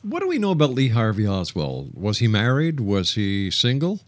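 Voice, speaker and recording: A man's voice: fairly low pitch, huge pitch swings, moderate pace, dry recording. A man hosting a radio talk show from a studio, interviewing and announcing. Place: broadcast studio.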